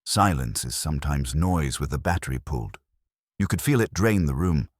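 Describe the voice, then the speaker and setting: A man reading a scripted first-person detective story as an audiobook narrator, with a husky voice. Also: a bass voice, expressive, normal speed, no room sound, studio quality.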